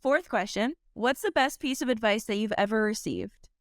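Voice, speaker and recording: A young woman hosting an interview, cheerfully reading out rapid-fire questions in a brisk manner. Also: on the high side, lively intonation, dry recording, studio quality. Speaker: interview host.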